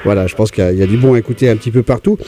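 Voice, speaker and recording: A man's voice: fairly low pitch, very fast, mellow. A man hosting a radio music interview, chatty and leading the questions. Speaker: radio host.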